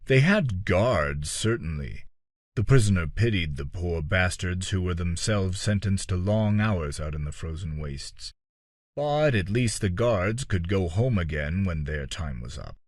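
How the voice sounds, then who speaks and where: A man reading a dark fantasy story as an audiobook-style narrator, measured and dramatic, with deliberate pauses and phrases that trail off. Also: low-pitched, animated, no echo, clean studio sound.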